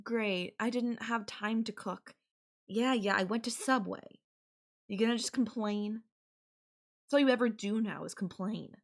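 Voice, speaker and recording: A woman playing a possessive, sarcastic and menacing yandere girlfriend in an audio roleplay, talking with sweet condescension. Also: a little high. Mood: irritated, stressed. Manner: slow, sarcastic, sweetly condescending with veiled threats. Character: possessive, menacing yandere girlfriend holding her partner captive. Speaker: voice actor.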